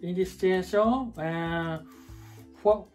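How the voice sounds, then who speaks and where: A young Japanese man in his twenties, speaking slow, hesitant learner English with a Japanese accent and repeating words as he searches for them. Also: high voice, soft background music. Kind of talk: halting learner English, then casual video outro. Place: small indoor room at a table.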